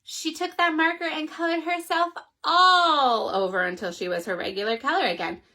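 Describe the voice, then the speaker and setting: A woman reading a children's picture book aloud in an animated, expressive way. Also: high voice, normal speed. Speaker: children's story reader. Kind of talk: storytime read-aloud.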